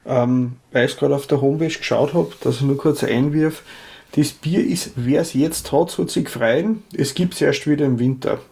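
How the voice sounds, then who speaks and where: A man's voice: medium pitch, normal speed, vocal fry, mellow, slightly rough. A man, co-hosting a casual beer chat, adding facts and dry asides. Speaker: beer podcast co-host.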